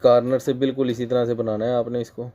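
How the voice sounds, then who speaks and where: Hoarse Pakistani man teaching sewing. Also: medium pitch, flat delivery, fast talker, dark tone. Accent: Pakistani Urdu. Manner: patient, repeats points for emphasis; clears his throat often. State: hoarse from a cold. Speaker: sewing machine instructor. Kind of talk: casual tutorial voice-over. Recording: dull lo-fi recording.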